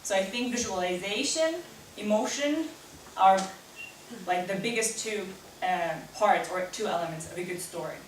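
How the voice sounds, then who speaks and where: A woman's voice: mid-range voice, moderate pace. Woman speaking in a very echoey hall. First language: not German; English not stated as first. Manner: earnest, personal, answers at length with restarts. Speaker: speaker at a lightning talk event. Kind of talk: live talk with audience Q&A. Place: event room with audience. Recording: very echoey room, distant mic, faint noise.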